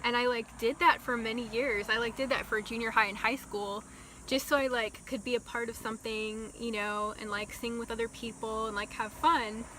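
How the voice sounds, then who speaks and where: A young woman telling a casual storytime vlog, talking slowly and softly with many ums and likes. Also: on the high side, steady tone, slight background noise, low-quality recording. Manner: slow, soft-spoken with many ums and likes. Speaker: vlogger.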